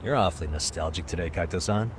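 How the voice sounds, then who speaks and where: Actor as a low-voiced, world-weary detective. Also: male voice, fast, slight background noise, close to an everyday voice. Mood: serious, low-key. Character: calm, world-weary private detective in his thirties. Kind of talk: video game cutscene dialogue.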